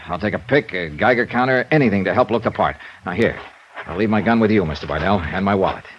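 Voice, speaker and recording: An actor playing a tough, steady American investigator, matter-of-fact and persuasive, in old-time radio drama style. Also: male voice, fairly low pitch, quick pace, a little hiss or hum, lightly acted. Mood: firm, urgent. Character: tough, steady male insurance investigator hero. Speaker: lead and narrator. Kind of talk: old-time radio drama acting, first-person detective narration. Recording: muffled old radio recording.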